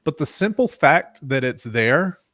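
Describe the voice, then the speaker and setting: A man's voice: a little high, animated, a little slow, a bit bright, no room sound, studio quality. A man, a guest on a crypto livestream, talking casually and speculating, with frequent restarts. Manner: rambling, speculative, many restarts. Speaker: guest, crypto project builder. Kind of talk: casual crypto podcast chat.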